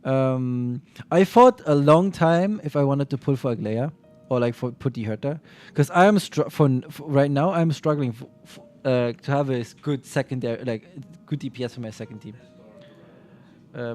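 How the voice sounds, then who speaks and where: A man's voice: mid-range voice, moderate pace, a little mellow, faint music behind. A man streaming and chatting casually about a game, rambling with frequent restarts and self-corrections.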